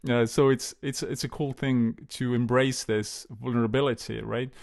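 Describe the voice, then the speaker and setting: A man in casual conversation, telling stories in a hesitant, relaxed way, with many fillers and restarts. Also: mid-range voice, moderate pace, creaky. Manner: storytelling, relaxed.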